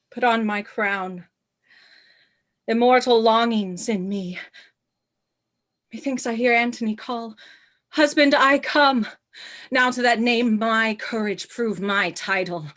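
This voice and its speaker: A woman acts a proud, doomed queen in a radio play. Her voice sits fairly high and moves very slowly, with stately, declamatory verse that is both grand and tender. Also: bright, forceful tone, heavily acted. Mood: solemn, resolved.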